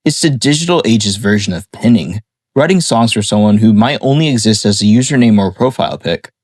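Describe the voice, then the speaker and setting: A man reading a scripted analysis of a song in a measured, explanatory way. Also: fairly low pitch, lively intonation, darkish tone, dry recording, clean studio sound. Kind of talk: scripted narration.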